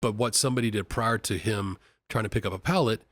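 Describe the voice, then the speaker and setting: A man's voice: on the low side, fast talker, a bit bright, dry recording. A man from Kentucky, an executive, talking casually and earnestly in a podcast interview, often restarting and repeating words. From Kentucky, USA. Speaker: company executive vice president. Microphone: studio podcast mic.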